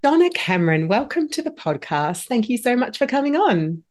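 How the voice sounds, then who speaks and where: A woman's voice: on the high side, sing-song, normal speed. A woman, speaking as a podcast host and interviewer. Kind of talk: scripted introduction and friendly interview chat.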